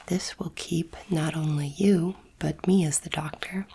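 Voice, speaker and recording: A woman voicing a calm, clinical alien doctor in an ASMR roleplay, whispering softly in a measured, formal and soothing way. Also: low-pitched, slight background noise. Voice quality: soft, breathy whisper. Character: calm clinical alien space doctor examining a human specimen.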